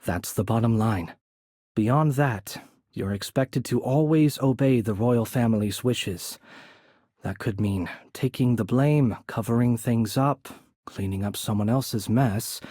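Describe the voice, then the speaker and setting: A man playing a courteous, composed young noble mage in a video game, soft-spoken and slightly breathy, with polite, measured, formal phrasing. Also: lowish voice, dry recording, studio quality. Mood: grave, measured. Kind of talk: video game character voice acting.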